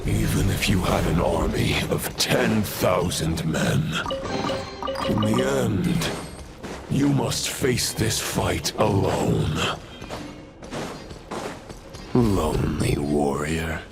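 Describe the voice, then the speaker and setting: An actor playing a grim, fatalistic antagonist in a video game, preaching doom in a grandiose, gravelly, theatrical way. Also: male voice, deep voice, lively intonation, heavily acted. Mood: foreboding. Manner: grandiose, deliberate, theatrical delivery. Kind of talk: dramatic video game voice acting. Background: loud music.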